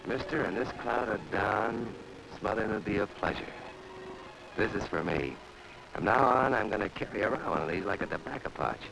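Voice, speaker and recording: A man's voice: fairly low pitch, animated, moderate pace, creaky. A man acting a wry, grumbling airman stranded in the arctic, talking to himself in a 1940s training film with a noisy, scratchy soundtrack.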